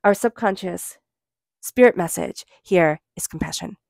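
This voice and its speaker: A woman giving a gentle, reflective tarot reading, talking casually with many fillers. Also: on the low side, a little slow, creaky, no echo, studio quality. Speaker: tarot reader.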